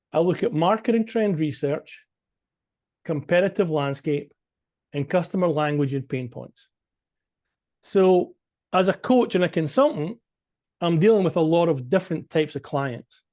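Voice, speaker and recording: A man's voice: fairly high pitch, a little mellow. A man with a Scottish accent giving an informal, explanatory business presentation as a coach, conversational and emphatic, often checking in with listeners.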